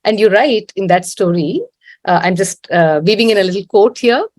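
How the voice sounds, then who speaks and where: A woman's voice: mid-range voice, moderate pace, darkish tone, no echo. Woman interviewer, rambling careful questions, dry close sound. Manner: thoughtful, rambling questions with fillers. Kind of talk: casual conversation.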